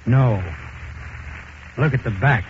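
A man's voice: medium pitch, slow pace, lightly acted. An actor playing a blunt, formal male federal interrogator in 1940s radio acting style. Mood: stern, pressing. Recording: muffled, slightly noisy 1940s radio recording.

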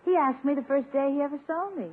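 A woman playing a poised, gently teasing lady courted by rivals in a 1950s radio sitcom, coy and softly probing. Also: high voice, fast talker, phone-quality sound, natural voice. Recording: thin, noisy 1950s radio recording.

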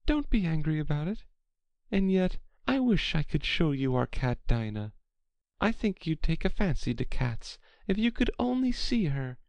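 Alice, a high-pitched eager little girl. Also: expressive, slow, a little mellow, dry recording. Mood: soothing, chatty. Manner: earnest, rambling, apologetic. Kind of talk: children's storybook character voice.

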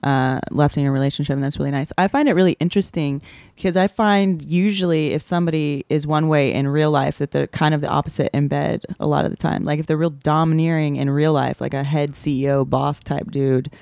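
Female comedian guest, blunt and frank, quick to laugh, dry studio sound. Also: deep voice, steady tone, gravelly, darkish tone, no echo. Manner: frank, laughs often, blunt about sex. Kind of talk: casual comedic chat.

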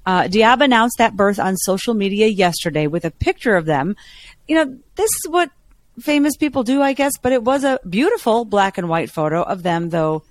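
A woman with a low voice co-hosting a podcast, telling stories in a lively, chatty way. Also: moderate pace. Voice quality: lower voice. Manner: chatty, animated storytelling. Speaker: podcast co-host. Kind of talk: casual chat.

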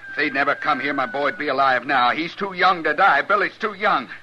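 A man's voice: fairly high pitch, steady tone, a little fast, dull audio, noisy background, close to an everyday voice. An actor playing a bitter, belligerent frontier father in a radio western, with a folksy, combative way of talking. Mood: bitter grief. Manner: folksy rural drawl, combative. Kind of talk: 1950s radio western acting.

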